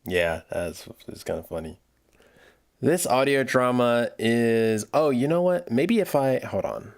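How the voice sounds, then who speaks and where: A man chatting casually on a livestream while he works, thinking aloud in a halting, rambling way with repeated phrases. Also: medium pitch, lively intonation. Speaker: livestream host and audio drama producer.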